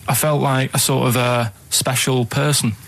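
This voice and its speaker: A man's voice: mid-range voice, fairly even intonation, creaky, a bit bright. Rambling Mancunian man telling a story. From England. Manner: rambling, halting, plain-spoken anecdotes with many restarts. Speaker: radio show regular. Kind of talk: casual radio storytelling.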